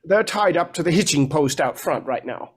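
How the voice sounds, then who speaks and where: A man's voice: a little high, lively intonation, normal speed, breathy edge. Man as a flustered, henpecked lord, wildly lilting. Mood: harried. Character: harried, henpecked lord, fussy father in a fairy tale. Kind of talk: stage play acting.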